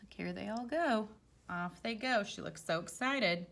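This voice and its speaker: A woman's voice: mid-range voice, a little slow, gravelly, a bit bright. A woman teacher reading a children's storybook aloud expressively, with chatty explanatory asides for young listeners.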